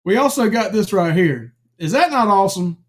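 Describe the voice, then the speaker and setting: A man's voice: high voice, lively intonation, moderate pace. A man co-hosting a live stream in casual chat, greeting viewers by name with lots of fillers while he is losing his voice. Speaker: livestream co-host.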